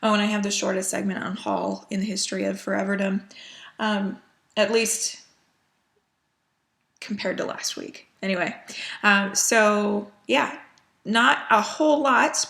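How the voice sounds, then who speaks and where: Sniffly chatty woman with a gravelly voice. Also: mid-range voice, fairly even intonation, a little slow, a bit bright. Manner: chatty with frequent ums and small laughs. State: sniffly from a cold. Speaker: cross-stitch vlogger. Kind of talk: casual chat.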